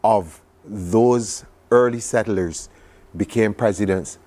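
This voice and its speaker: Male interview guest, Barbadian accent, lower register, chatty and reflective with an enthusiastic storytelling manner. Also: takes it slowly, a little mellow. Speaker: studio guest recounting a trip. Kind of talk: conversational television interview.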